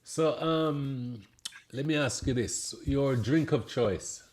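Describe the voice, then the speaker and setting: A man's voice: mid-range voice, slow pace, darkish tone. A middle-aged man, hosting a podcast with casual questions and chat. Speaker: podcast host.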